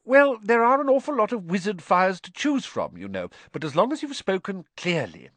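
A fretful, fussing middle-aged witch mother, put on by a male reader, her voice pitched well up and lilting as she coaxes and frets. The sound is close and dry, with no room around it, for a storybook reading. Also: very animated, normal speed, a bit bright, no room sound, exaggerated voice. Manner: fretful, coaxing, high and lilting.

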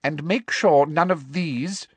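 A woman's voice: low-pitched, slow, slightly rough, no room sound, pitched above the performer's own voice, exaggerated voice. A woman voicing a harsh, power-hungry female official who speaks sharply with a false sweetness. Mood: harsh demanding.

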